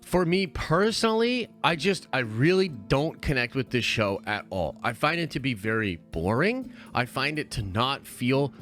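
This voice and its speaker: Animated man giving blunt opinions. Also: mid-range voice, moderate pace, few pauses, soft background music. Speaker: online show reviewer. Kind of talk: casual opinion commentary.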